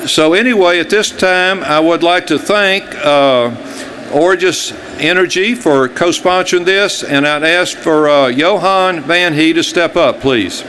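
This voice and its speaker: A man's voice: a little high, unhurried, a bit bright, a little hiss or hum. A man, a utility executive giving a plainspoken speech at an event, with some hesitations.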